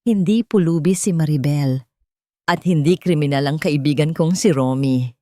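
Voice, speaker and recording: A woman's voice: low-pitched, moderate pace, dry recording, lightly acted. Female reader as elderly villager for audio drama: loyal, proud, indignant, emotional, dry recording. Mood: angry defiance. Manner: shouting, trembling with emotion.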